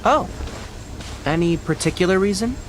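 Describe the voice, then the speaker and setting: High-voiced young forest ranger. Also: male voice, expressive, moderate pace, over music. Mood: instructive. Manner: articulate, instructive, gently teasing. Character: bright young male forest ranger, knowledgeable, wry, patient. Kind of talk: anime game voice acting. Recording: noisy game livestream capture.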